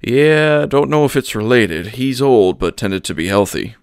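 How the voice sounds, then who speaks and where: A man voicing a blunt, plain-spoken churchman who talks in a dry, unhurried way. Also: medium pitch, gravelly, clear, resonant tone, no echo, pitched above the performer's own voice. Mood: matter-of-fact.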